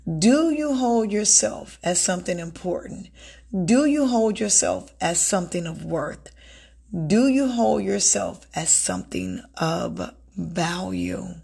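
A woman hosting an unscripted self-help podcast, with a raspy voice that sounds a little strained from a recent illness, talking earnestly and repeating words for emphasis. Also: mid-range voice, animated, slow pace, dark tone. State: recovering from illness, slightly strained voice. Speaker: podcast host.

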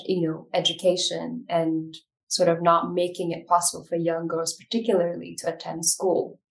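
A woman from Ethiopia, a documentary filmmaker, answering interview questions in a reflective way with many pauses and restarts. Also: on the low side, vocal fry, distant mic, poor audio. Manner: reflective, frequent fillers and restarts.

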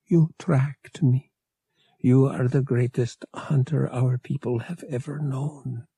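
A man voicing a devoted female alien hunter who talks softly and emotionally to her mate in an audiobook. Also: mid-range voice, steady tone, slow, no echo, exaggerated voice. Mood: tender, relieved. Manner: soft, breathy, emotional murmuring.

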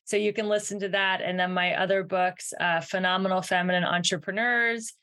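A woman's voice: mid-range voice, steady tone, fairly quick, a bit bright. A woman guest on a podcast interview, talking in an upbeat, earnest and encouraging way about her work. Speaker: podcast guest, success mentor. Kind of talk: interview answers.

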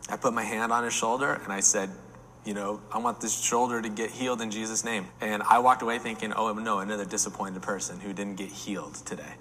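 Pastor in his 40s, bright forceful voice, candid, reverberant room. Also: lowish voice, fairly even intonation, brisk, a little hiss or hum. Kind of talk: panel discussion.

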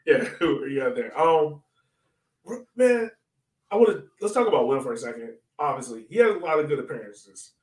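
A man's voice: on the high side, expressive, normal speed, a little mellow. Man chatting casually in a roomy echo. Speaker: sports podcast co-host. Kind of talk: casual soccer chat. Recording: roomy echo, distant from mic.

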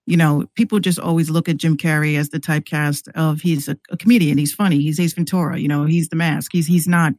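Higher-voiced man in loose podcast conversation. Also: level tone, a little fast, hardly any pauses, a little mellow, dry recording, clean studio sound. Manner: rambling, repeats words while working out thoughts. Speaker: podcast guest and music maker. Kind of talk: casual conversational podcast talk.

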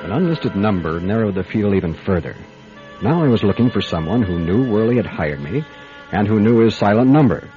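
A hard-boiled private detective acted by a man speaks in a low voice with clipped, businesslike wryness. It is noir radio drama, slightly muffled, with faint music behind. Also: lively intonation, fairly quick, close to an everyday voice. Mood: wry, brooding. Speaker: lead actor and narrator. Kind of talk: radio detective drama with first-person narration.

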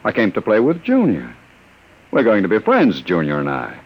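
A smooth-talking, menacing gangster in a vintage radio play. His voice is low and swings widely in pitch, sardonic and commanding. The old recording is dull and muffled. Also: wildly swooping pitch, moderate pace. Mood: cool menace. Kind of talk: old-time radio drama acting.

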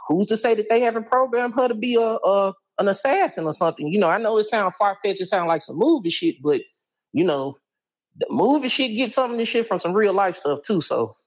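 A man's voice: sits high, brisk, poor audio. A man calling in to a talk show and speaking casually in slang. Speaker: caller. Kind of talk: casual phone-in call.

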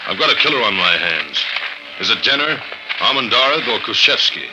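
A man's voice: fairly low pitch, sing-song, fairly quick, loud music behind the voice. A man playing a tough, blunt, sardonic investigator in an old-time radio drama. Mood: grim narration. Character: tough, hard-boiled male investigator, dry and commanding. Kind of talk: old-time radio drama acting with first-person narration. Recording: noisy old radio show recording.

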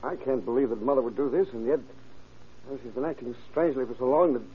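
An actor in an old-time radio drama playing a troubled, reluctant young son, earnest and halting. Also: male voice, medium pitch, a little hiss or hum, close to an everyday voice. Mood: troubled, hesitant. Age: young adult. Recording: muffled, phone-like old radio recording.

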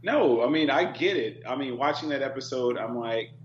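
A man guest on a talk panel, speaking in an earnest, thoughtful and measured way. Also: medium pitch. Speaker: reality show cast member, guest. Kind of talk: casual panel chat. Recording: dull echoey remote audio with hum.

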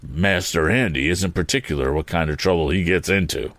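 A man's voice: sits low, a little fast, a bit bright, no echo. A man voicing a folksy gardener in a storybook, respectful but slyly knowing. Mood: sly, helpful. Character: deferential male gardener, sly and folksy.

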